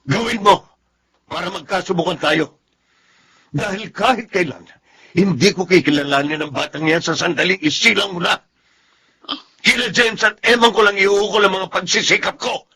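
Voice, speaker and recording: A man's voice: sits high, normal speed, pauses often, pushed and bright, breathy edge, heavily acted. An actor playing a harsh, stubborn, bitter Filipino father in a radio drama, stern and confrontational. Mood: angry, defiant.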